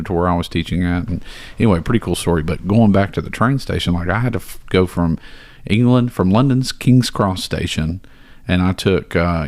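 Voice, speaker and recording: A man's voice: deep voice, darkish tone, no room sound. A man co-hosting a casual porch chat, telling rambling stories and laughing often. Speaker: podcast co-host.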